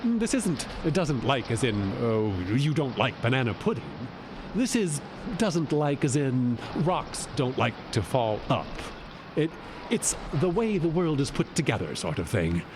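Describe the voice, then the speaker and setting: A man's voice: medium pitch, very animated, measured pace. A man playing a chatty, knowing god who explains things emphatically in an audio drama. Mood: explaining. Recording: noisy outdoor ambience behind.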